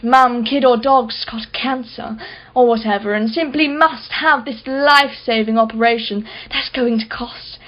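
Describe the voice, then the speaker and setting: Woman acting a haughty rich collector, higher-pitched, sardonic. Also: dull audio, lightly acted. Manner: condescending, mocking, self-satisfied. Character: wealthy, haughty, sardonic female art collector. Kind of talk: radio play acting.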